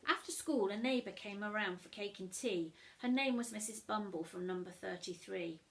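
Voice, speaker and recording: A woman reading a rhyming children's story aloud, as an expressive storyteller. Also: mid-range voice, moderate pace, vocal fry, slight background noise, poor audio.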